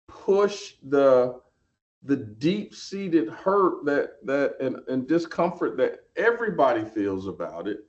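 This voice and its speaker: A man on a webinar panel answering a question, slow and halting as he searches for words. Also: on the high side. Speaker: museum representative, panelist. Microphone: video call. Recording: muffled video call audio.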